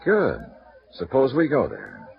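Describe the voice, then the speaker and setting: An actor playing a shrewd male insurance investigator in a 1950s radio drama, questioning someone in a calm, probing, gently pressing way. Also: mid-range voice, slow, vocal fry, exaggerated voice. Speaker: lead actor. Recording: muffled old radio transcription.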